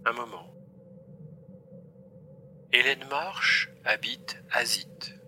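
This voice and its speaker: An actor voicing a matter-of-fact computer assistant in a science fiction audio drama, speaking in a measured, formal way. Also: medium pitch, sharp tone, slight background noise, full character voice. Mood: neutral, informative.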